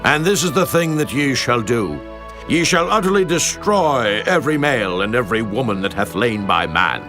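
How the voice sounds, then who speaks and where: A man's voice: mid-range voice, expressive, moderate pace, natural voice. Biblical audio drama: male actor as hard Israelite commander, declamatory, dynamic inflection, scored with music. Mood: stern command. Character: stern Israelite leader commanding his troops. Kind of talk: dramatized Bible audio acting. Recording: music behind the voice.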